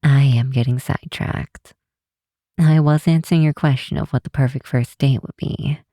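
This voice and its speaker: A woman playing an affectionate, teasing vampire scientist in an intimate ASMR roleplay, speaking softly and unhurriedly to a close friend. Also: very deep voice, steady tone, no room sound. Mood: fond, musing. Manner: soft, unhurried, teasing, sometimes breathy near-whisper. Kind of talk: intimate ASMR roleplay, one side of a call.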